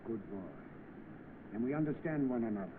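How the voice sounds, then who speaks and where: A man's voice: medium pitch, expressive, a little fast, background noise, natural voice. Man playing a smug swindler, tinny old film. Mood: sly, scheming. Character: smug, scheming male swindler, bossy and menacing. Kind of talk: 1930s film acting.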